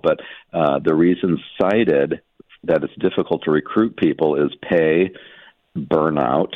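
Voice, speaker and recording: A man talking through local news as a guest editor on a radio show, explaining things in a measured, halting, conversational way. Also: a bass voice, no echo. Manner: explanatory, measured, hesitant phrasing. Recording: muffled narrow phone-like line.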